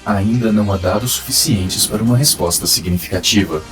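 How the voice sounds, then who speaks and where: Homem interpretando um supercomputador cósmico de voz gravíssima. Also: male voice, lowish voice, fairly quick, fairly bright tone, faint music behind, close to an everyday voice. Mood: calm, impassive. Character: vast cosmic supercomputer, ancient, calm and patient.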